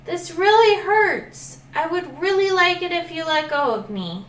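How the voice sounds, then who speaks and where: A tiny frightened dragon, voiced by a woman reading aloud begs in high, bright cries. The voice rings in a roomy space and sits a little away from the mic. It sounds young and scared. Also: lively intonation, unhurried, heavily acted. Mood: pleading. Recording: echoey room, heard from a distance.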